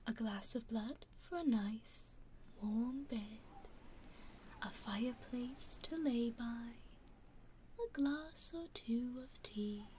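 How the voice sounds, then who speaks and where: Whispering British vampire noblewoman, voiced by a woman, coaxing and politely menacing, unhurried ASMR delivery. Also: fairly high pitch, a little mellow, a little hiss or hum. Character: genteel female vampire lady, coaxing and smug. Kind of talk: ASMR roleplay.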